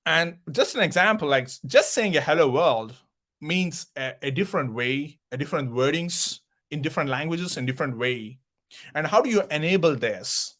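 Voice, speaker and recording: A man's voice: on the high side, lively intonation, normal speed, fairly bright tone. A man from South India with an Indian accent, a Kannada speaker, giving an online conference talk and often repeating words as he searches for the phrasing.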